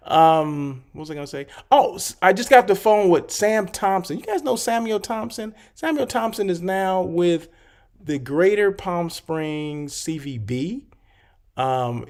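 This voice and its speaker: A man with a fairly high, gravelly voice hosts a loose live stream, drifting from one aside to the next. He sounds a little froggy and hoarse, and his talk is full of fillers. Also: darkish tone. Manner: rambling, chatty, many fillers and asides. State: slightly hoarse, clearing throat. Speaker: hotel consultant hosting a live show. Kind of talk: casual livestream chat.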